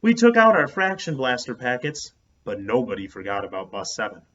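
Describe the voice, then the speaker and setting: A man reading a children's book aloud as an expressive storyteller. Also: mid-range voice, fairly quick, highs cut off.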